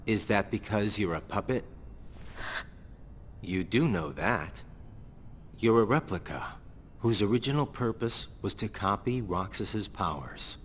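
Cool, weary young male game hero, lowish, unhurried, blunt, in long reverb. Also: animated. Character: cool, weary young man, blunt but loyal friend. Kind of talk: video game cutscene acting. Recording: long reverb, faint rumbling noise.

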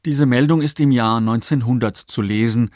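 A man narrating a scripted documentary in a factual, measured way. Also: medium pitch, a little mellow, dry recording, studio quality. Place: dry studio.

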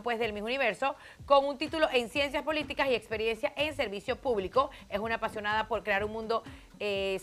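A woman's voice: on the high side, a bit bright. A middle-aged woman co-hosting a playful, casual chat from a small studio set, reading from notes into a handheld mic. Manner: chatty, playful, reads from notes. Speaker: co-host.

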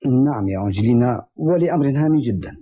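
An actor playing a polite, serious young gentleman doctor in a dubbed cartoon, speaking formal Arabic courteously and earnestly. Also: mid-range voice, animated, slightly rough, highs cut off. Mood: serious, concerned. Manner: courteous, measured wording, earnest.

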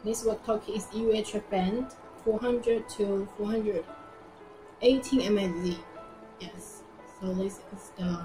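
Young woman demonstrating products, roomy echo. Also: mid-range voice. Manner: simple halting non-native English, repeats phrases. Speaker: product presenter. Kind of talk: informal live product demonstration. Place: indoor product showroom. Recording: roomy echo with music behind. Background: music.

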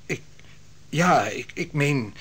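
A man's voice: a little high, lively intonation, slow, fairly bright tone, breathy edge, exaggerated voice. An actor playing a nervous elder who stammers through his false testimony in an old radio drama. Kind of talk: 1960s Dutch radio drama.

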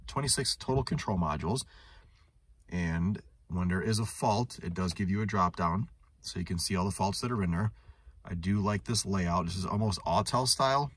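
Low-voiced man casually demonstrating a tool. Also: brisk, husky. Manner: conversational, thinking aloud. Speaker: automotive technician reviewing a scan tool. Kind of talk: casual unscripted product demonstration. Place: inside a parked car in a shop.

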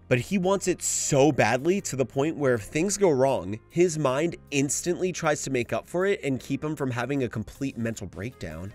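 A man narrating a scripted video essay analysis. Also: mid-range voice.

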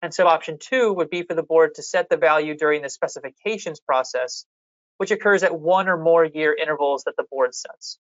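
A methodical presenter at a public hearing, explaining policy options slide by slide in an even, measured way. The voice sits at a middling pitch. The sound is dry and a little muffled, with no room around it. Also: no echo. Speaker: fishery management staff presenter.

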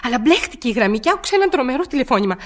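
An anxious, imperious woman in a radio drama. Her voice is high and swings widely in pitch. She stammers and presses her demands with agitation. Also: sing-song. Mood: alarmed, pleading. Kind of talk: radio play acting.

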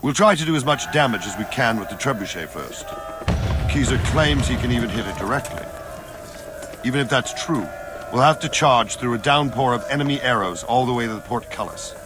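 An actor playing a grizzled older soldier in a video game, blunt, fatherly and resolute, with a measured, commanding delivery. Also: medium pitch, lively intonation, noisy background, close to an everyday voice. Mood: resolute, instructing. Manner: measured, plain-spoken, commanding. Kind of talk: dramatic video game cutscene acting. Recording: music behind the voice.

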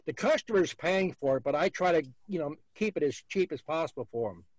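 A man's voice: a little high, lively intonation, brisk, dry recording. A man, hosting a beekeeping video call and giving blunt, folksy advice. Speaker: beekeeper host.

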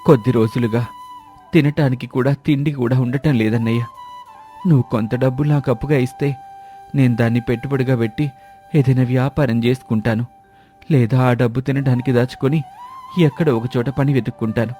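A man voicing a destitute, humble village cousin who pleads gratefully in an animated moral story. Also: mid-range voice, a little fast, soft background music, natural voice. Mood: pleading, sorrowful. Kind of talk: dramatised moral story dialogue.

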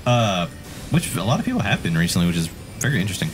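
A man's voice: on the low side, sing-song, fast talker, soft background music. A middle-aged man co-hosting a gaming show with casual, joking, sweary commentary, often in a mock ASMR style. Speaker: gaming show co-host and coach. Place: indoor streaming room.

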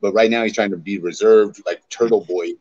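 Low-voiced streamer, dull lo-fi audio. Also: male voice, fairly even intonation, brisk, a little mellow, breathy edge. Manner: laid-back, laughs often, trails off. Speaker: streamer host. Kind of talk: casual livestream chat. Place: small room indoors. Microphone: webcam on a video call.

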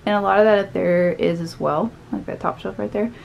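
A woman's voice: mid-range voice, fairly even intonation, a little fast, vocal fry, a little mellow. A young woman with a light Southern American lilt chats away, upbeat and a little scattered, as she tidies her small office. She is picked up by the camera mic as she moves around the room, with a faint hum in the background. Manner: chatty, upbeat, thinks aloud while tidying. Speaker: vlogger. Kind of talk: casual cleaning and haul vlog. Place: small home office, indoors.

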